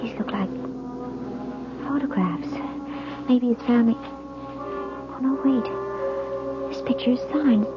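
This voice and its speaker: An actress playing a frightened, distrustful married woman in an old-time radio mystery, speaking haltingly and anxiously. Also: on the high side. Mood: anxious, hushed. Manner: halting, anxious, breathy murmurs to herself. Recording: dull old radio recording with music.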